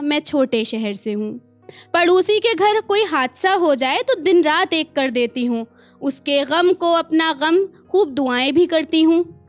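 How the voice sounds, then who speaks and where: High-pitched Indian woman reciting poetry. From small town in India. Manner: expressive, deliberate, repeats lines and refrains for emphasis. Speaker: poet performing her own work. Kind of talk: live spoken-word poetry recital with asides to the audience.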